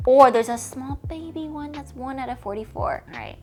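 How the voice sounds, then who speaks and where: A woman's voice: sits high, normal speed. A 29-year-old woman, a fitness creator, talking casually and at length, peppering her speech with fillers and gushing over cute toys. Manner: chatty and rambling, full of "like" and "okay?"; gushing, squealy delight over little toys. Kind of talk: casual vlog Q&A and unboxing.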